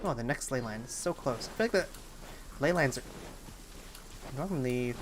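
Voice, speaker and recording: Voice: mid-range voice, animated, normal speed, a little hiss or hum, low-quality recording. A game streamer giving casual live commentary, rambling and often breaking off mid-thought while thinking aloud. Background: game music.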